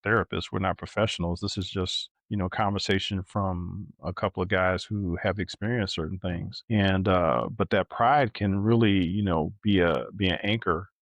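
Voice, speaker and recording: A middle-aged man co-hosting a podcast in casual, reflective conversation, thoughtful and halting, often checking in with a questioning tag. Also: deep voice, steady tone, dry recording. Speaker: podcast co-host.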